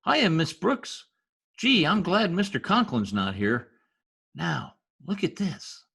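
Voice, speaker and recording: High, husky mischievous schoolboy. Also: male voice, wildly swooping pitch, takes it slowly, bright, forceful tone, full character voice. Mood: gleeful. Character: gee-whiz mischievous teenage schoolboy, excitable and cheeky. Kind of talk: old-time radio comedy acting. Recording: remote home recording over video call.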